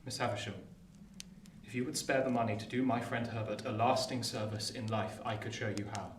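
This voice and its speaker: A man playing an earnest, lovelorn young gentleman in a radio play, speaking formally and with pleading passion. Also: fairly low pitch, steady tone, a little fast, close to an everyday voice. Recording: echoey, distant, noisy room.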